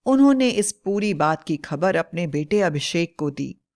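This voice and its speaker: A woman's voice: fairly low pitch, animated, measured pace, darkish tone. A woman narrating a scripted children's moral story as a storyteller.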